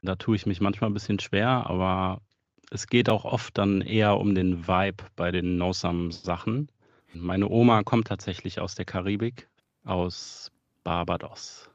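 A man's voice: deep voice, steady tone, measured pace, no echo, lo-fi sound. A man talking casually and reflectively about his music in an interview. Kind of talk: relaxed interview talk.

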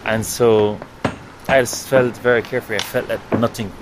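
A man with a Jamaican accent, a writer, recounting his own experiences in a measured, thoughtful way with pauses mid-sentence. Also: lowish voice, fairly even intonation, background noise. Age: adult. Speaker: writer and guest. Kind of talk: reflective storytelling.

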